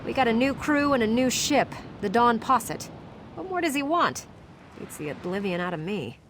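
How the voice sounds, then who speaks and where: A woman's voice: a little high, sing-song, normal speed, background noise. A woman voicing a brash, sarcastic sailor in a video game, with a wry, informal manner. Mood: dismissive, exasperated. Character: brash, sarcastic female sailor on a ship's crew. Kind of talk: video game character dialogue.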